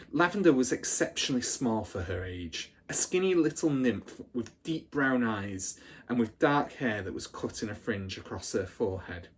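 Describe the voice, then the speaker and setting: Husky man reading a children's story. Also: medium pitch. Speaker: storybook reader. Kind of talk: expressive read-aloud narration of a children's story.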